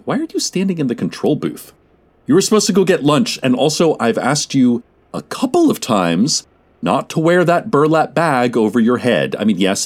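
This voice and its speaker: A man playing a theatrical radio host who delivers spooky monologues in an expressive, deliberate manner. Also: medium pitch. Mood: chatty, bemused. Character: spooky radio show host, chatty, theatrical, naively unbothered.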